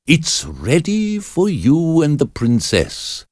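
A man's voice: on the high side, huge pitch swings, slow pace, darkish tone, no room sound, clean studio sound, pitched above the performer's own voice, heavily acted. A man voicing a commanding, emphatic astrologer and magician in a storybook. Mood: proud. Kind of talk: storybook character reading.

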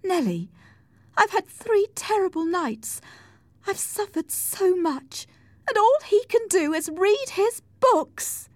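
Feverish young wife in an audiobook: high, slow, melodramatic, defiant and self-pitying. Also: female voice, very animated, a little mellow, no echo, exaggerated voice. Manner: melodramatic, wailing. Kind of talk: audiobook character acting.

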